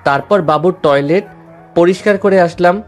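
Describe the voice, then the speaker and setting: A man's voice: high-pitched, normal speed. A man narrating a dramatized story in the first person. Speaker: story narrator and lead character. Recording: music behind the voice.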